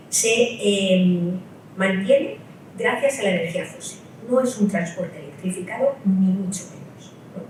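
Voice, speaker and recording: A woman's voice: mid-range voice, darkish tone, slight background noise. A woman from Spain giving a public lecture at a political meeting. She explains her points step by step and often checks in with the audience through short rhetorical tags. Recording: echoey hall, mic at a distance.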